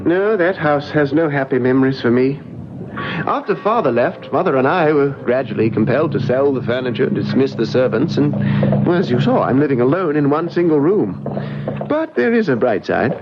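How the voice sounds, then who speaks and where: A man playing a troubled, fragile young gentleman of twenty, earnest and hesitant, in old-time radio drama style. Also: mid-range voice, very animated, moderate pace, noisy background, lightly acted. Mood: earnest, melancholy. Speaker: actor in a radio mystery drama. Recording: muffled vintage radio recording with music.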